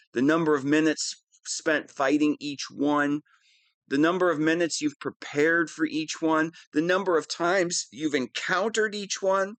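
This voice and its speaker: A man's voice: on the high side, a bit bright, no room sound. A man playing a whiny, pompous wannabe supervillain who is easily flustered, in a scripted comedic audio roleplay.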